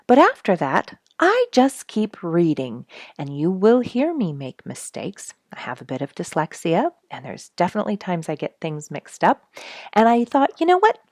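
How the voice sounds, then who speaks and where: A woman with a low voice hosts a children's read-aloud. Her intonation is very animated, with an encouraging way of addressing young listeners. The sound is dry, with little room around it. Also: moderate pace, dry recording. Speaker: story reader and host. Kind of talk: read-aloud children's storybook with casual asides.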